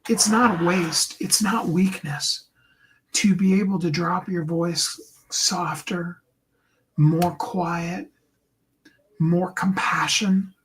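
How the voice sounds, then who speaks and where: A man with a higher tenor voice giving an earnest, encouraging motivational talk to his viewers, often slowing down and dropping into a soft whisper. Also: fairly even intonation, a little hiss or hum, rough recording. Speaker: host and coach.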